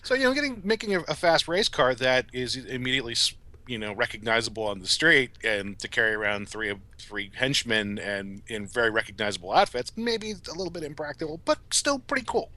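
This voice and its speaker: A man's voice: mid-range voice, sing-song, brisk, pushed and bright. A man talking in a casual review chat, with a wry, conversational manner. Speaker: podcast guest reviewer.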